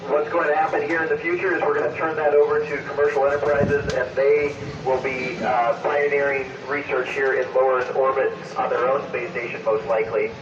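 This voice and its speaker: A man's voice: mid-range voice, flat delivery, brisk, hardly any pauses, far from the mic, highs cut off. Man over a noisy, echoey radio link. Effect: radio transmission. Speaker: astronaut answering questions by radio. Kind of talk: question-and-answer over amateur radio.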